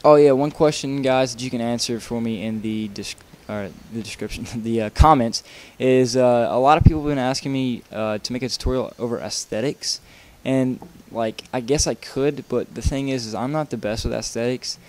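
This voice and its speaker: A man's voice: mid-range voice, normal speed, few pauses, a little mellow. A young man talking casually over his gameplay while showing how to build a track, rambling with lots of fillers and restarts. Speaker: video game tutorial maker.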